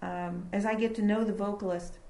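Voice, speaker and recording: A woman recording engineer talking casually in an interview about her studio, explaining with fillers and pauses. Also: medium pitch, steady tone, gravelly, warm tone. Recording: echoey room.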